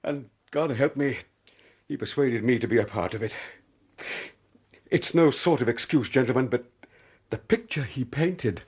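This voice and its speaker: An actor in a British radio drama playing a guilty middle-aged gentleman schemer, remorseful and lovelorn, with a husky British voice and a halting, earnest manner. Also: medium pitch. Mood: shamefaced confessing.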